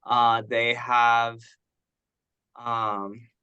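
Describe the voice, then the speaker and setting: A man's voice: medium pitch, level tone, extremely slow, clean tone, muffled recording. A man chatting casually as a participant on a conference call. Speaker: meeting participant.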